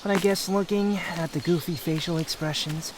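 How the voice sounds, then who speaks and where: A man playing an aloof, proud young wanderer in an intimate roleplay audio drama, guarded yet secretly fond, with a brooding, haughty delivery full of pauses. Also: on the high side, steady tone, background noise, lightly acted. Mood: amused, teasing. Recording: outdoor nature ambience with insects. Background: insect and nature ambience.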